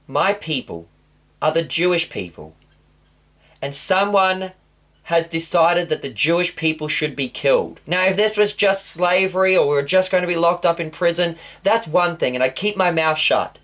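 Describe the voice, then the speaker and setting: A man's voice: a little high, expressive, moderate pace, fairly bright tone. A man voicing a young queen who earnestly pleads her case. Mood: pleading.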